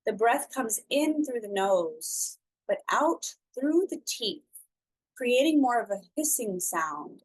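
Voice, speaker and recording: A woman instructor leading a slow guided breathing meditation, speaking softly and soothingly with long pauses between phrases. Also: mid-range voice, rough recording.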